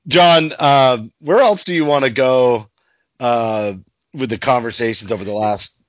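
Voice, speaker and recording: A man of medium pitch hosts a sports podcast in a casual, informal style. He states his opinions bluntly. The sound is very dry and slightly dull, with no room around the voice. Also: a little slow, no room sound. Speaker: podcast host.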